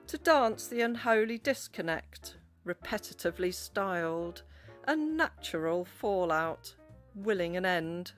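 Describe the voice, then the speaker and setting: A woman reciting poetry solemnly, with measured, deliberate phrasing. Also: fairly low pitch.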